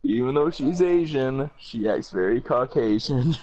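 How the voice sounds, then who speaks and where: Male co-host, hesitant joker with a lively pitch; muffled lo-fi recording. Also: measured pace, slight background noise. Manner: hesitant, joking remarks with restarts. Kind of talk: casual chat. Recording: dull lo-fi sound.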